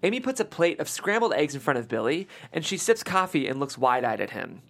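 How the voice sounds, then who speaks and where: A man's voice: on the high side, big pitch swings, brisk, creaky, fairly bright tone. A man hosting and reading script directions aloud, upbeat and chatty in his presenting. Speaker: show host and stage-direction reader.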